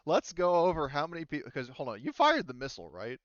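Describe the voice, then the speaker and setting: A man chatting casually as a game master, explaining things in a halting, conversational way. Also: a little high, wildly swooping pitch, fairly bright tone, no room sound, lo-fi sound. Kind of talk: casual chat, running a tabletop game session.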